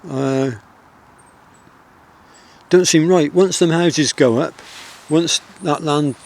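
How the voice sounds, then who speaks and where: A middle-aged man with a Wiltshire country accent, vlogging outdoors in the countryside and reflecting in a soft, rambling way full of pauses. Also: fairly high pitch, vocal fry. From England. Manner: soft-spoken, rambling, with many pauses and fillers.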